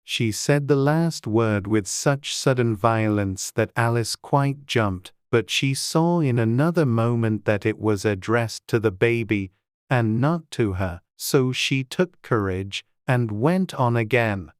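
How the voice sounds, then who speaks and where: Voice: medium pitch, slow, clear, resonant tone, no room sound, clean studio sound. An audiobook narrator reading a dramatized storybook.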